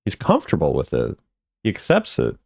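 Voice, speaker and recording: A man's voice: extremely low pitch, wildly swooping pitch, a little fast, creaky, darkish tone, no room sound, clean studio sound. A man giving casual chatty commentary as a video creator, often repeating phrases for emphasis. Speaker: video creator ranking game voice lines.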